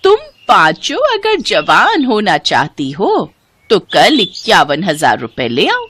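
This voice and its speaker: A sly swindler posing as an old healer woman acted by a woman storyteller. She makes her pitch slowly and weightily, in storybook dialogue. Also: wildly swooping pitch, a bit bright, breathy edge, no room sound, full character voice. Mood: cunning, persuasive.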